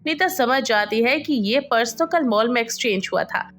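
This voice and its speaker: A woman delivers scripted story narration in a high voice. Her intonation is animated and varied, and music runs softly beneath her. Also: moderate pace.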